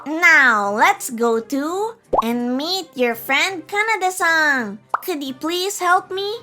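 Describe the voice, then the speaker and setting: A woman's voice: high voice, animated, slow pace, pushed and bright, heavily acted. A woman voicing a polite, formal wedding emcee calling up guests in a manga story. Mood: insistent. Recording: music behind the voice.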